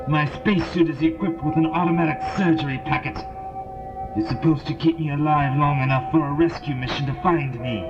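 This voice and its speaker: An actor playing a small, fanatical alien soldier in an audio drama, speaking in a formal, declamatory way. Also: male voice, medium pitch, lightly acted. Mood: weak, pained. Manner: formal, grandiose, declamatory speech. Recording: dull lo-fi audio drama, loud music underneath.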